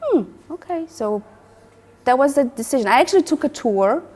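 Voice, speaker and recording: A Moldovan woman in her forties with a Moldovan accent, telling stories as a guest in a casual interview, animated and laughing, with many restarts. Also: high-pitched, normal speed.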